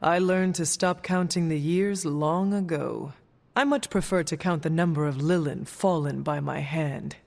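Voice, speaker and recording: An actor playing a stern, age-old demon hunter in a video game, speaking in a formal, measured, grave way. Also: mid-range voice, creaky, darkish tone. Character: solemn ancient demon hunter, stern, resolute warrior. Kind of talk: video game cutscene dialogue.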